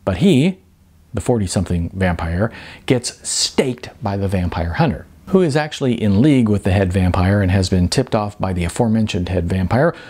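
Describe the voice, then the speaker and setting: Male narrator, low and husky, lively swings in intonation, expressive audiobook-style reading of literary prose. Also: normal speed. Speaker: author reading their own novel.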